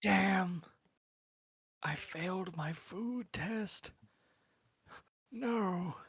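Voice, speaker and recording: A man's voice: sits high, drawn-out words, a bit bright, breathy edge, no echo. Joking man in dry-sounding game chat. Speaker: player in a tabletop game.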